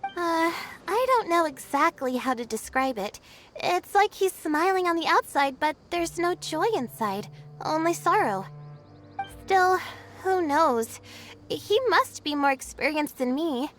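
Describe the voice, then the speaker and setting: High-voiced, pensive game girl. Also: expressive, unhurried, fairly bright tone, faint music behind. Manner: musing, hesitant. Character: gentle, pensive girl, thoughtful observer. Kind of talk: anime-style video game voice acting.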